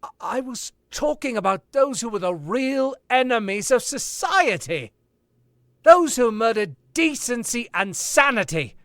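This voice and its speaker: A man playing a scornful high-voiced author. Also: big pitch swings, gravelly, no echo, clean studio sound, heavily acted. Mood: grave. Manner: measured, scornful, rising to shouting fury. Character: sophisticated male author, cold and accusing. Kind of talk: 1940s radio acting.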